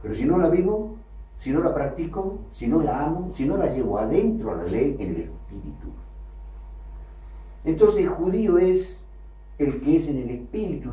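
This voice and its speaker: A man preaching a sermon, emphatic, with loud bursts that drop low again. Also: dull audio. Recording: echoey hall, from far off, faint ambience.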